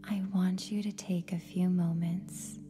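A woman with a soft, breathy, near-whispered voice guides a meditation in a soothing, gentle way, leaving long pauses between phrases. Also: on the low side, flat delivery. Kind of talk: guided meditation, scripted narration. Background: meditation music with singing bowl tones.

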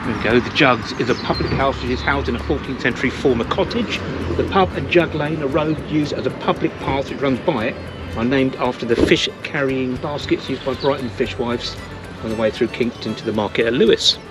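A man's voice: medium pitch, brisk, over loud music. A man in his fifties chatting enthusiastically while filming himself on a village walk, camera mic at arm's length. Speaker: vlogger. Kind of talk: casual walking vlog. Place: village church and lanes, outdoors. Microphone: handheld camera mic at arm's length.

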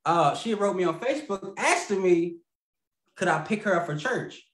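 Male guest, 30s, rambling storyteller, lots of fillers, slightly high voice, echoey video-call audio. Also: expressive, moderate pace, fairly bright tone. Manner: rambling, laughs mid-sentence, many fillers and restarts. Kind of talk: casual storytelling. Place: home room.

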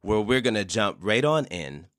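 A man hosting an interview podcast, introducing a guest and asking warm follow-up questions over a remote call. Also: lowish voice, moderate pace, a little hiss or hum. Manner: lively intonation, supportive listener. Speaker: podcast host.

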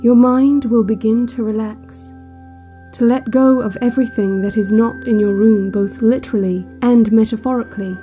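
An actress playing a calm, controlling relaxation-cassette instructor in an audio drama, measured, soothing and deadpan, quietly sinister. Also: female voice, on the high side, faint music behind, lightly acted. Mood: hypnotic, deadpan.

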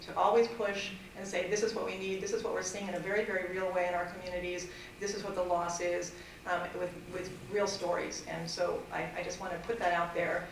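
Middle-aged woman, public comment, earnest and halting, flat delivery, echoey hall, off-mic. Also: lowish voice, vocal fry, noisy background. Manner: earnest, hesitant with many fillers and restarts. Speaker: fair housing advocate at public hearing. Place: council chamber. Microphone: desk mic at a distance. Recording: echoey chamber, far from mic.

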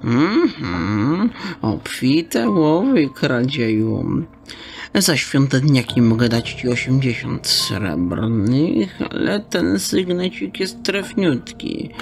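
An actor playing a sly, haggling fence shopkeeper who talks in folksy, mangled slang. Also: male voice, medium pitch, gravelly, exaggerated voice. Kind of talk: radio drama acting.

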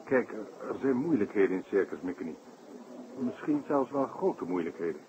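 An actor playing a polite, worried circus director in an old Dutch radio drama, speaking formally and deferentially. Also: male voice, fairly low pitch, expressive, normal speed, natural voice. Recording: dull, noisy old radio recording.

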